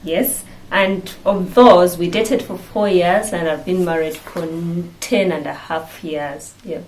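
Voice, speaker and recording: A woman's voice: on the low side, steady tone, slow pace, darkish tone, a little hiss or hum, poor audio. A woman in her thirties with a Ugandan accent, hosting a vlog in casual chat to the camera. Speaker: vlog host.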